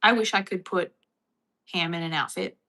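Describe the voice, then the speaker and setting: Australian woman, casual streamer, bright forward voice, chatty with viewers. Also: on the low side, normal speed, gravelly. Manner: chatty, reads and answers viewer comments. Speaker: livestream host. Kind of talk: casual livestream chat.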